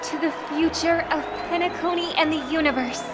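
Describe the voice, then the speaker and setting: Poised young festival hostess, high voice, measured formal speech, video game acting, music in background. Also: female voice, steady tone. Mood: gracious, ceremonial. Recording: orchestral game music behind.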